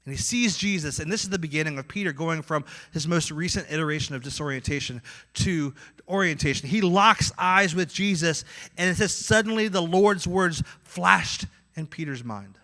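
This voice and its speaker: A man with a Midwestern accent preaches a sermon in a high, very bright voice. He acts out the voices in his story and swings between loud, pressing lines and quiet ones. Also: normal speed. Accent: Midwestern American. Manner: animated, acts out inner voices with swings in loudness. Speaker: pastor.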